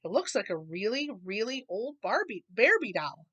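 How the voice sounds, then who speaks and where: A woman's voice: mid-range voice, sing-song, moderate pace, fairly bright tone, dull audio. A woman voicing a curious, inquisitive little girl bear cub in a children's storybook.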